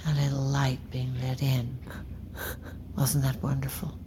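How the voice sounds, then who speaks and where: Bereaved mother, audio drama: bitter and unforgiving, very low register, unhurried, deliberate delivery. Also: completely flat delivery, noisy background. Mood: probing. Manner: slow, deliberate, accusing, often hushed. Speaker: actor in a fiction podcast. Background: faint music.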